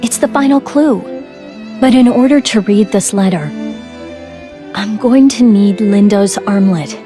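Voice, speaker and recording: A woman voicing a caring, older-sister female soldier in an English game dub, with a reassuring, steady manner. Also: mid-range voice, measured pace, soft background music, lightly acted.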